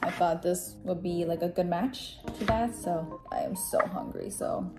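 A woman's voice: fairly low pitch, measured pace, loud music behind the voice. A woman in her twenties chatting casually in a vlog at home, giggly and saying "like" a lot. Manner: chatty, giggly, says "like" often. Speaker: vlogger. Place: home apartment, indoors.

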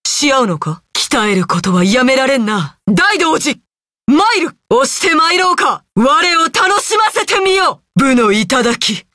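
A woman's voice: high voice, big pitch swings, slow pace, pushed and bright, dry recording, studio quality, full character voice. A woman voicing a proud, stern, battle-hungry female ninja warrior in an anime game, with haughty, archaic, commanding speech. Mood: bold, challenging. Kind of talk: Japanese anime video game voice acting.

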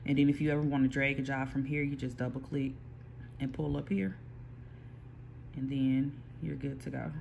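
A person casually explaining printer software step by step, with frequent pauses and restarts, recorded on a phone aimed at a computer screen. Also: mid-range voice, fairly even intonation, creaky, lo-fi sound, a little hiss or hum. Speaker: small print shop owner giving a software tutorial. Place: indoor workspace at a computer. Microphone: phone filming a computer screen.

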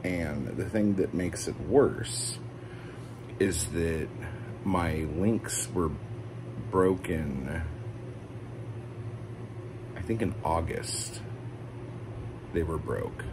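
A man in his forties vlogging at home, rambling and swearing as he vents his frustrations and low mood. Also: extremely slow, long pauses. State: feeling low and tired. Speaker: poet vlogger. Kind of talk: casual confessional vlog. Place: indoor room with ceiling fan. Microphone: phone camera held low, close. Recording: noisy phone recording.

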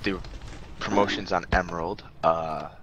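A man's voice: deep voice, fairly even intonation, unhurried, a bit bright, dull audio. A man casually giving directions to a group in an online game voice chat. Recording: noisy voice chat with clicks.

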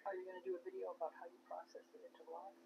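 A woman's voice: lowish voice, flat delivery, very fast, gravelly, warm tone, muffled recording. A woman helping her husband off camera, making short practical remarks in a casual chat outdoors. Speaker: host's wife helping off camera. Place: outdoor patio.

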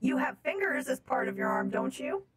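A woman's voice: sits high, expressive, moderate pace. A woman voicing a curious, commanding female space robot with stilted, imperious phrasing in an improvised online game roleplay.

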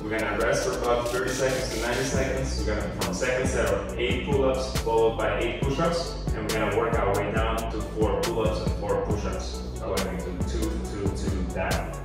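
A man's voice: lowish voice, fairly even intonation, a little fast. A man working as a personal trainer, calling out exercises in a direct, motivating coaching manner. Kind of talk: coaching instructions. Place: home gym, indoors. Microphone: camera at a distance. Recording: echoey room, mic at a distance. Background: background music.

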